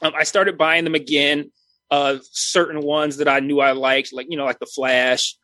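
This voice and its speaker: A man's voice: fairly high pitch, flat delivery, moderate pace, bright, forceful tone, no echo. A man in his thirties chatting casually as a podcast co-host, rambling with many restarts and fillers. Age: 30s.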